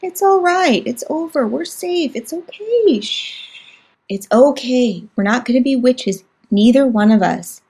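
Woman narrator putting on a snappish teen big sister, raised pitch, some fry. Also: expressive, unhurried, exaggerated voice. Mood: soothing. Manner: sharp, exasperated, bossy. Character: irritable, tough teenage girl, older sister.

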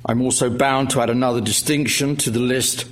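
A man's voice: medium pitch, steady tone, fairly quick. A middle-aged man chairing a lecture evening, introducing the speaker with wry jokes and many hesitant fillers. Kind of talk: formal introduction speech with jokes. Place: lecture hall with audience.

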